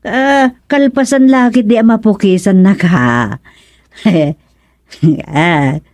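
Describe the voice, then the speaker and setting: A woman acts out a practical village wife with an Ilocano accent in a radio comedy-drama. She is teasing and expressive, with a medium-pitched voice, recorded dry and close. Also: slow, dry recording, close to an everyday voice.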